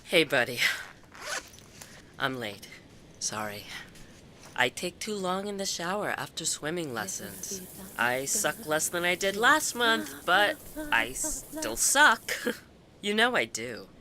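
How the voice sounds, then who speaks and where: A woman's voice: fairly low pitch, big pitch swings, slow, long pauses, crowd noise. An actor playing an anxious, grieving, self-mocking woman in her forties, confiding in a conversational audio drama monologue. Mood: apologetic, tender. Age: 40s. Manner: confiding, self-deprecating, emphatic.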